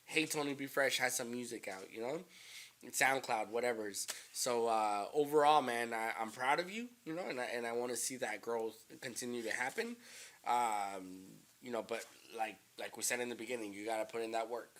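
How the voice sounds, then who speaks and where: A man hosting a casual interview, relaxed and encouraging, with lots of fillers. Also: mid-range voice, moderate pace. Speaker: podcast host.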